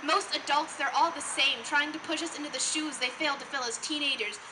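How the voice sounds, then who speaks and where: A woman's voice: high-pitched, steady tone, fairly quick. A teenage young woman performing slam poetry live with an emphatic, earnest, rhetorical delivery. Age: teens. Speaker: slam poet. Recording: noticeable background noise, live event.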